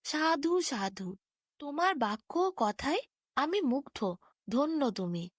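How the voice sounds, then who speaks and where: A woman's voice: high-pitched, big pitch swings, takes it slowly, no echo, studio quality, voice pushed up, full character voice. A woman voicing a boisterous, demanding but just male water demon in a theatrical storybook manner. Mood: impressed.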